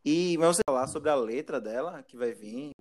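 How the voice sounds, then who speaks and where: A man's voice: mid-range voice, moderate pace, darkish tone. A man hosting a casual podcast chat, laughing often and trailing off mid-sentence. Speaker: podcast host.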